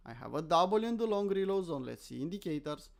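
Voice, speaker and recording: A man's voice: fairly high pitch, lively intonation, brisk, vocal fry. A man from Romania with a Romanian accent, hosting casual live trading commentary in an informal, self-deprecating way, often asking and answering his own questions. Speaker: host, crypto trader.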